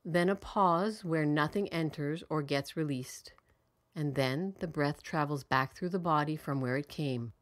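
A woman leading a guided meditation in a calm, soothing, measured way with long pauses between phrases. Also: low-pitched.

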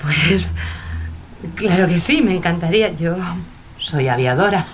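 A woman's voice: lowish voice, animated, measured pace, slight background noise. A woman playing a bold, stubborn, plucky young aviator in an audio drama. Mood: confident.

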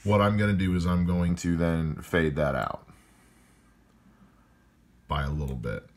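A man with a loud voice calmly walking through how he edits a podcast episode, step by step. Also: sits low, unhurried. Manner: calm step-by-step explaining. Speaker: podcast editor. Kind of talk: explaining a screen-recorded editing walkthrough.

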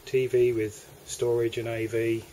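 A man with an English accent walks through the cabins of a moored motor yacht, narrating a sales tour in a relaxed, hesitant way. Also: medium pitch, unhurried, a little hiss or hum. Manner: relaxed, hesitant, with fillers and restarts. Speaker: yacht broker. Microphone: handheld camera mic.

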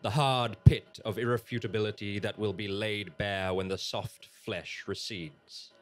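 An ominous storyteller, performed by a man with a lowered voice and music behind. The scripted narration of an eerie tale is grave, measured and portentous. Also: steady tone, close to an everyday voice. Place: small theatre stage before an audience. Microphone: stand microphone.

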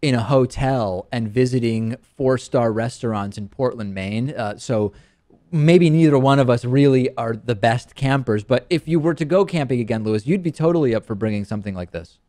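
Male studio host, 30s, keen and playful, mid-pitched voice, close dry mic. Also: moderate pace, dark tone, dry recording. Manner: enthusiastic, teasing his co-host. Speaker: show host. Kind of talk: casual product review chat. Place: TV studio set. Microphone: studio mic on a stand.

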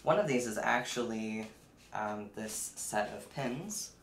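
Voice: medium pitch, animated, slow pace. A young adult vlogger chatting informally to camera while presenting a haul, recorded indoors at a short distance from the camera. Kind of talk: casual unboxing chat to camera. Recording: roomy echo, clear background noise, clicks.

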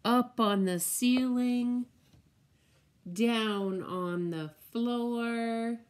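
A middle-aged woman, a preschool teacher, reading a picture book aloud to small children and explaining things simply in a deliberate, drawn-out way. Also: on the high side. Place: indoors, room at home with window blinds.

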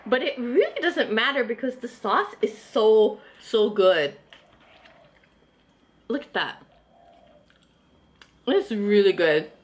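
A woman's voice: a little high, animated, slow pace, pauses often. A woman in her thirties chatting enthusiastically while tasting and reviewing food indoors, with lots of casual fillers. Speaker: food vlogger. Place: small indoor room, eating at a table.

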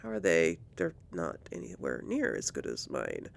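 A woman doing casual gameplay commentary. She thinks aloud chattily, with lively pitch and frequent fry, over faint background noise. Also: normal speed. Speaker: let's play host.

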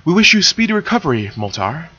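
A man's voice: fairly high pitch, very animated, fast talker, pushed and bright, husky, no room sound, muffled recording, pitched above the performer's own voice, heavily acted. A man voicing a stately regal princess. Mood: poised. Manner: formal and poised. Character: stately regal pony princess, elder sister.

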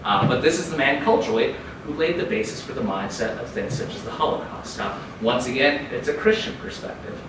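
A man lecturing from the front of a dark conference room. The echoey, roomy sound comes from a camera far across the room, with steady background noise. His voice climbs and gets louder whenever he reads a quote aloud. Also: brisk. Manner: raises pitch and volume when reading quotes aloud. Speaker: keynote speaker.

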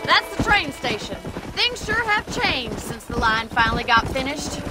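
An actress playing a brisk, wry young ranch woman in a western video game, with an American accent and a dryly sarcastic, bossy manner. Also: high-pitched, sing-song, loud music behind the voice, rough recording. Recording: noticeable outdoor ambience with horse hoofbeats.

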